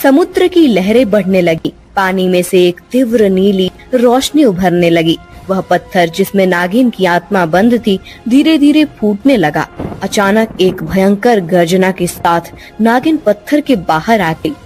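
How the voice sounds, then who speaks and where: A woman's voice: mid-range voice, lively intonation, a little slow, a little mellow, faint music behind. A woman narrator reading a scripted story for a cartoon.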